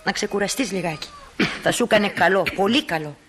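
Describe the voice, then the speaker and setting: A woman's voice: mid-range voice, a bit bright, lightly acted. An actress playing a fussing, caring small-town doctor's wife and mother in a radio play. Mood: worried, caring. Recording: noisy, hissy old radio drama recording.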